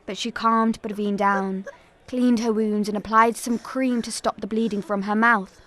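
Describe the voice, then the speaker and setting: A woman narrator giving a scripted first-person dramatic account in a solemn, measured storytelling manner. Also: mid-range voice, steady tone, fairly bright tone.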